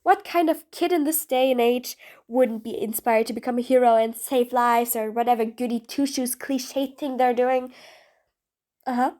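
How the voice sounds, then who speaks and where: A high-voiced, sly supervillain acted out by a woman for an audio roleplay. The delivery is theatrical and mocking, with grand, formal phrasing. The villain comes across as impatient and scheming, with a grudging streak of sympathy. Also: normal speed, mellow, lightly acted. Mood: wry, impatient. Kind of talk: scripted audio roleplay monologue.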